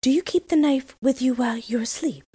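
A woman reading a storybook, voicing a gentle, frightened little girl who speaks timidly. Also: sits high, fairly quick, husky, dry recording, clean studio sound, pitched above the performer's own voice. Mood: anxious. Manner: timid, pleading.

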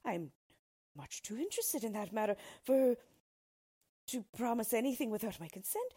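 A woman's voice: on the high side, very animated, moderate pace, mellow, breathy edge, pitched above the performer's own voice. A woman reading a storybook, playing a proud, fearful young princess in a dramatic manner. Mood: indignant. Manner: pleading, indignant, dramatic.

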